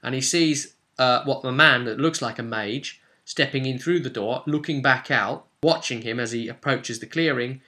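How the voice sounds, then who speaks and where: A man's voice: mid-range voice, moderate pace, few pauses, sharp tone. A man narrating a tabletop fantasy role-play session as game master, telling it dramatically and off the cuff in vivid, emphatic short phrases.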